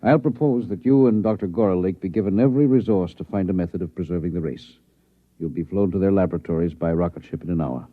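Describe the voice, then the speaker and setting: An old, narrow-band radio recording carries a head of state. His voice is very deep and deliberate, full of authority with small hesitations, in the manner of a 1950s science-fiction radio play. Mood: resolute. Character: weighty, decisive president of a future nation. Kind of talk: 1950s radio drama acting. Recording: thin band-limited vintage radio recording.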